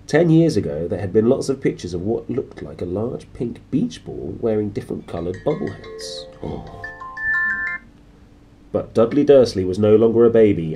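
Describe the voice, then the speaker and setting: Male storyteller, very low and mellow, informal and playful, some background noise. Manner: informal, playful, addressing listeners as a team. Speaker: reader, host of a read-aloud series. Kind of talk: lively storybook narration with informal asides.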